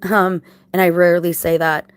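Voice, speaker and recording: A woman with a scratchy, dry-mouthed voice after crying, talking casually to viewers in a halting, reflective, earnest way. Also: fairly low pitch, little pitch movement. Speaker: vlogger.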